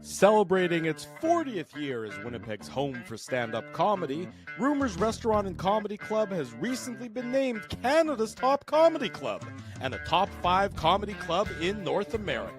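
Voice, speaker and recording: A man's voice: high-pitched, sing-song, moderate pace. A man announcer reading scripted radio commercials in an upbeat, punchy way, over a music bed.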